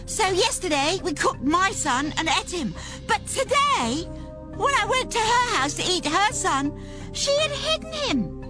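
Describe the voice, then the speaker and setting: Desperate, high-pitched starving mother. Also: very animated, a little slow, exaggerated voice. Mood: distraught. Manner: pleading, distraught. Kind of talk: dramatized Bible audio acting. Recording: music behind the voice.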